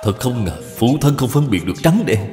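A wronged, resentful grown son of old China, played by a man in a cartoon. He protests earnestly with lively pitch. Long reverb and faint music surround the voice. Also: fairly quick, close to an everyday voice. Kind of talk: cartoon dubbing.

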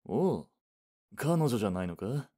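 A senior male coworker, acted by a man, from a voice drama, gruff but caring. He speaks in a blunt, informal way with a relaxed, mellow tone at a medium pitch. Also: measured pace. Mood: teasing, concerned. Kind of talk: voice drama dialogue.

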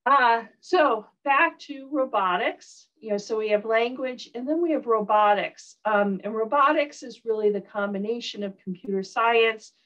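A woman professor with a Southern US accent giving a recorded online lecture, relaxed, with frequent ums and uhs. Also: a little high, moderate pace, hardly any pauses, dull audio.

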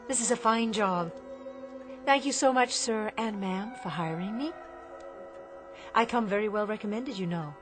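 A woman's voice: mid-range voice, moderate pace, long pauses, music in the background, close to an everyday voice. An actress in a radio drama playing a polite young servant woman, deferential and eager to please. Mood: eager, obliging. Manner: deferential, formal.

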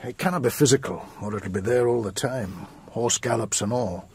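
An actor playing a shrewd, sceptical horse expert in a radio drama, dry and authoritative in manner. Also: male voice, medium pitch, normal speed, a little hiss or hum, close to an everyday voice.

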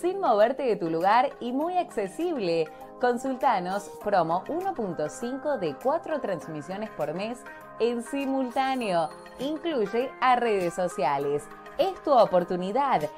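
A woman with an Argentine accent reads a scripted promo as an announcer. Her pitch is mid-range and moves in a lively way over light music. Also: unhurried.

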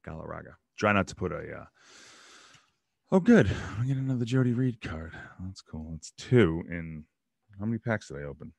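A man in his thirties hosting a casual solo show, rambling and joking as he opens card packs in a small home room on a boom mic. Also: very animated, normal speed, creaky, no room sound. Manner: rambling, joking asides about players. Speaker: podcast host opening baseball card packs. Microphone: studio mic on boom arm, headphones.

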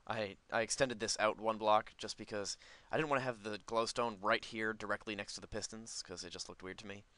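Guy doing casual lets play commentary, lowish clear voice, kinda rambly thinking out loud, dry close mic. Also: fairly even intonation, fairly quick, dry recording. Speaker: gaming video host. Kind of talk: casual, unscripted gameplay commentary.

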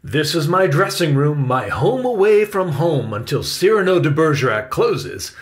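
A man's voice: on the high side, big pitch swings, moderate pace, voice pushed up. A man voicing a genial, firm uncle who works as a theater actor, explaining things emphatically in a storybook reading. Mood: warm, inviting.

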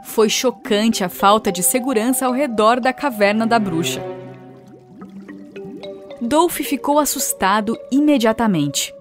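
A woman narrating a children's cartoon story in a clear, expressive storytelling manner. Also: fairly high pitch, unhurried, soft background music.

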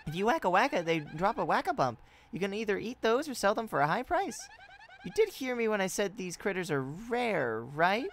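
A woman's voice: medium pitch, big pitch swings, moderate pace. A woman voicing a chatty, earnest young female sidekick in a video game, explaining things expressively. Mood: pointed, informative.

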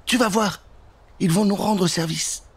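Man doing a high-pitched, excitable little girl. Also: a little slow, slightly rough, no echo. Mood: urgent. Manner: high, urgent, breathless exclamations. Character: frightened, excited little girls, two sisters fleeing an ogress.

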